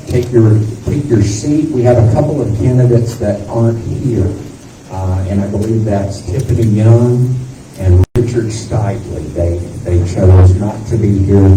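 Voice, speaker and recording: Folksy man addressing a small room. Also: on the low side. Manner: relaxed, folksy, chatty. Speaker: event organizer. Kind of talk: casual announcements to a room. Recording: roomy, distant, noisy PA.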